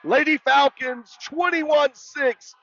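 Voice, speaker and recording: Loud man calling volleyball, muffled audio. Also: extremely high pitch, slow, slight background noise. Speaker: sports play-by-play announcer. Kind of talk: live volleyball commentary.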